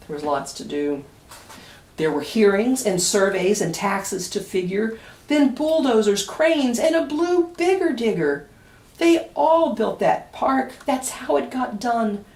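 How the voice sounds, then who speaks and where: A woman reading a children's picture book aloud expressively, with deliberate dramatic pauses and emphasis. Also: mid-range voice, a little mellow. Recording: echoey room, distant microphone.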